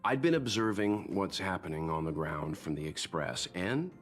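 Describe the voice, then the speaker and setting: Wise older game mentor, deep voice, measured, encouraging, quiet music behind. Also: male voice, animated. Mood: warm, candid. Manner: measured, reflective, reassuring. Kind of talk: scripted video game dialogue. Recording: faint music underneath.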